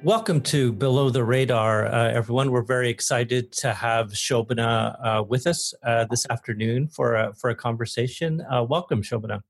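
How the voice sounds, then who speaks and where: Male podcast host, gravelly, husky, halting questions. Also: medium pitch, soft background music. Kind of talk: interview questions.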